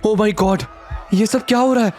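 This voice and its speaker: A man's voice: high voice, measured pace, a little mellow, soft background music, voice pushed up. A man voicing a terrified airline passenger in an audio drama, frantic and shrieking for help.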